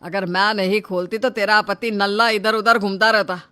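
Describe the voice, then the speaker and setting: A performer plays a tyrannical father and shop owner in a melodramatic family story. The voice is bright and hard-edged, always domineering, with a threatening, shouting delivery. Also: mid-range voice, level tone, normal speed, smooth, close to an everyday voice. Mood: angry, domineering. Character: tyrannical, short-tempered middle-aged father and shop owner. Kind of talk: melodramatic audio story dialogue.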